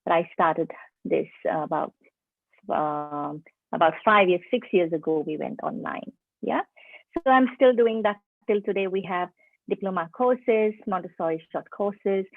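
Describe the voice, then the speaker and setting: A woman's voice: fairly low pitch, a little slow. A middle-aged woman with a mixed Indian and Indonesian accent presents to a group of teachers over a video call. She talks in a friendly way and keeps asking whether her listeners are following. The audio is narrow and phone-like, with no room echo. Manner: friendly, checks in with listeners often. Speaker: preschool teacher trainer.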